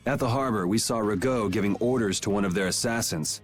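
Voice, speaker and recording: A cool young rebel from a video game, played by a man. He speaks in a lowish voice with a dry, sardonic, offhand delivery, over soft music. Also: a little fast, close to an everyday voice. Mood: dry, challenging. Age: young adult. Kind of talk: dramatic video game voice acting. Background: background music.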